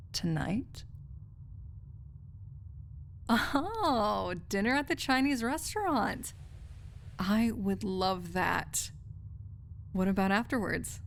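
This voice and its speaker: A tender, lovestruck female research assistant, acted by a woman for an intimate audio roleplay. She speaks slowly and softly at a medium pitch, lingering on phrases with a contented, wry touch. A faint noise sits behind the voice. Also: exaggerated voice. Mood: dreamy, happy.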